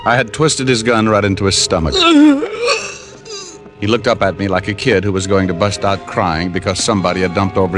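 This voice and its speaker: A man acting a tough American private eye from golden-age radio crime drama, low in pitch and dryly deadpan. He tosses off wisecracks and narrates his own case coolly, with music playing behind him. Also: close to an everyday voice. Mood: tense narration. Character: wry, tough male private detective, cool under threat. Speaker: lead actor and narrator. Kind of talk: 1940s-50s radio detective acting and first-person narration.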